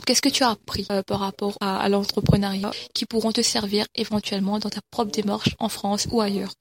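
A woman conducting an interview, with a voice at a middle pitch. She asks her questions in a polite, measured way. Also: flat delivery.

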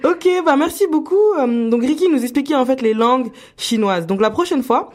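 A woman hosting a radio segment, asking guiding questions in a lively, friendly way. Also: sits high, normal speed. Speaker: radio host.

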